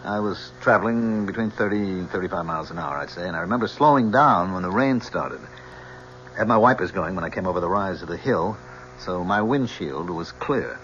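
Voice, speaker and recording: A polite driver on the stand, low voice, explains carefully, old radio drama, muffled and a bit noisy. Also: male voice, animated, a bit bright. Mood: composed, earnest. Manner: measured, respectful, explanatory. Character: polite, composed male driver testifying under oath. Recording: muffled old radio recording, faint noise.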